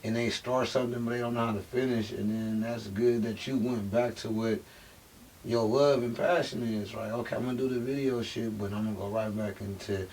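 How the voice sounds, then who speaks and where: A man's voice: lowish voice, steady tone, a little fast, a little mellow. A man hosting a podcast, chatting casually and encouraging his guests. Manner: encouraging, with lots of agreeing interjections. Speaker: podcast host. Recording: distant mic with faint hiss.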